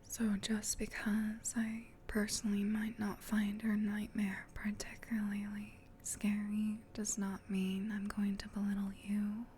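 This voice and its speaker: A woman voicing a gentle, caring sleep paralysis demon in a soft, breathy, reassuring whisper for an ASMR roleplay. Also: medium pitch, completely flat delivery, slight background noise, close to an everyday voice. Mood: tender, soothing. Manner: tender, reassuring, unhurried.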